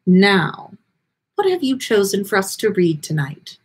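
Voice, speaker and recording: A woman voicing a gentle, proud lady ghost with a soothing, tender manner in a story reading. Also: mid-range voice, big pitch swings, unhurried, breathy edge.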